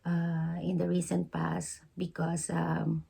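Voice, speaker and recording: A woman giving an intuitive tarot reading, hoarse because she is losing her voice, speaking slowly with many halting pauses. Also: fairly low pitch, completely flat delivery, mellow. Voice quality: hoarse, gravelly. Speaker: tarot reader.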